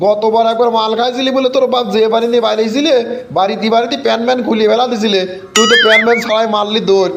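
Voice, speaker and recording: A man's voice: extremely high pitch, fairly even intonation, very fast, vocal fry. A man voicing a teasing, bossy young village drinking buddy in a comic cartoon, slangy and nagging, with a Bogura accent. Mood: cautioning. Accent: Bogura, northern Bangladesh. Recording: dull lo-fi sound.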